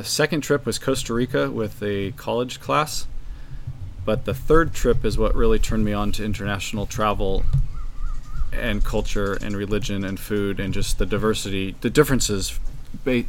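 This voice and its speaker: A man in his forties from California, speaking in a low voice. He answers interview questions casually, in short matter-of-fact sentences with fillers. Faint noise hums in the background. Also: steady tone, moderate pace. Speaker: guest, travel blogger.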